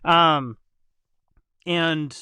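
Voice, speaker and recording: A man with a fairly high voice hosts a casual group podcast. He is jokey and rephrases himself as he goes. The sound is dry, with no room echo. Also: fairly even intonation, drawn-out words, pushed and bright, clear, resonant tone. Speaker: podcast host.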